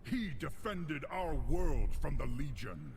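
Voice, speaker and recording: Gruff Horde war leader, slow and declamatory, gravel in the voice. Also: male voice, a little high, big pitch swings, a little hiss or hum, exaggerated voice. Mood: solemn tribute. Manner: ceremonial, weighty, declamatory. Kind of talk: cinematic game acting. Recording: game music behind voice.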